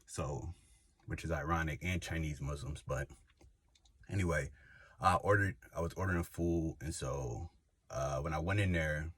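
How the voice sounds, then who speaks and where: A man's voice: extremely low pitch, normal speed, fairly bright tone, husky, dry recording. A young man, telling a rambling personal story to camera with many fillers and restarts. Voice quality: slight lisp. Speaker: vlogger. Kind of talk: casual personal testimony.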